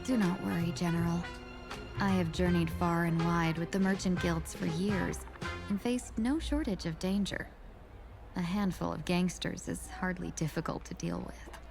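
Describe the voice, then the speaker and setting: A poised young fox-woman merchant envoy from a fantasy game. Her voice sits fairly low with a strong creak, formal and measured, politely bold with a weary edge, over clear music. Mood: composed, probing. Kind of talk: video game voice acting. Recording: music behind the voice.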